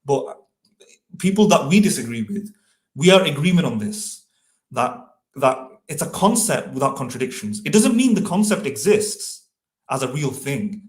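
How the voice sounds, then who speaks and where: A man's voice: on the high side, big pitch swings, normal speed, darkish tone. Man, co-host, explanatory, stumbling restarts, echoey room. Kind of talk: philosophical discussion. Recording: roomy echo.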